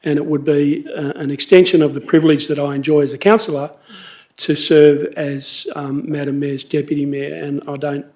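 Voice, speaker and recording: A middle-aged Australian man, a councillor, giving a halting speech at a council meeting, full of fillers and restarts. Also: fairly high pitch, flat delivery, darkish tone. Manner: hesitant, many fillers and restarts.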